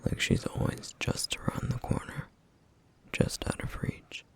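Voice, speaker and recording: A man playing a gentle, duty-bound flame demon in an ASMR roleplay, speaking softly in slow, formal, wistful phrases with a rough, breathy voice. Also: lightly acted. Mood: wistful, reverent. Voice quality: breathy, whispery, rough, gravelly. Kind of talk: ASMR roleplay, intimate soft-spoken monologue.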